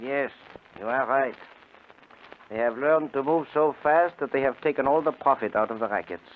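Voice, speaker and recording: A man's voice: medium pitch, normal speed, lightly acted. An actor playing a taunting, half-crazed male racketeer in a 1950s radio drama, with a mocking, jeering manner. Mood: glum, baffled. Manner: mocking, jeering, laughter-prone. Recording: noisy muffled old radio recording.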